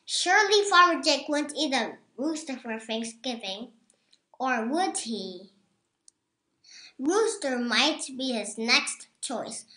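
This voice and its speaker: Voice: high-pitched, sing-song, takes it slowly, bright, forceful tone. A six-year-old child reading a picture book aloud, word by careful word. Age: child, six years old. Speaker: young storybook reader. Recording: roomy echo.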